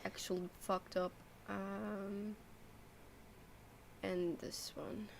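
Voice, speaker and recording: A woman chats casually while streaming a game. Her pace is slow and unhurried at a medium pitch, with faint game sound behind her. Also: flat delivery, vocal fry, slight background noise.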